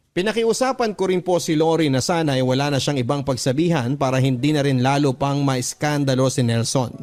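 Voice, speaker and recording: A man reads a confessional letter as scripted dramatic narration, in a measured, earnest storytelling manner. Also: fairly high pitch, a little mellow. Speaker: radio drama narrator reading a listener's letter. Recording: clear music bed behind the voice. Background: background music.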